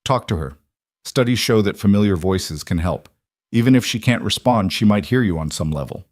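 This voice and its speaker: A man voicing a calm, professional female toxicologist who speaks in a clinical, careful way in an audio story. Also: on the low side, moderate pace, no room sound, clean studio sound, close to an everyday voice. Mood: gently serious.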